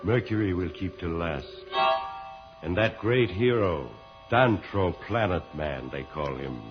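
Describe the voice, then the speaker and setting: A man playing an evil, gloating tyrant of Mars with a slow, menacing delivery in an old-time radio serial. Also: sits low, lively intonation. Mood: gloating menace. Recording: dull vintage radio recording, loud music.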